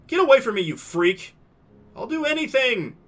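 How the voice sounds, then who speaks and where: A man voicing a volatile young dinosaur girl who screams in shrill outbursts. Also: fairly even intonation, quick pace, natural voice. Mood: screaming, distraught.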